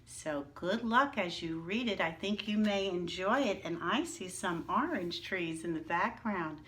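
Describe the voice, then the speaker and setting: A woman teacher leading young children through letters and words, speaking slowly and deliberately. Her voice is bright and forceful, and she keeps asking the kids to say each word with her and praises them. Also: on the low side, expressive, slight background noise. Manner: encouraging, slow and drawn out, calls for repetition. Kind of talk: early-reading lesson for young children.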